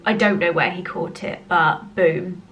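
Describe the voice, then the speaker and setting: A woman's voice: lowish voice, fairly even intonation, measured pace, a bit bright, a little hiss or hum. A woman with a cold and a nasal voice, chatting upbeat to camera at home as a home decor vlogger. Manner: chatty and upbeat, frequent little laughs. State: has a cold. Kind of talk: casual chat to camera. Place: at home, indoors. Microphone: handheld camera.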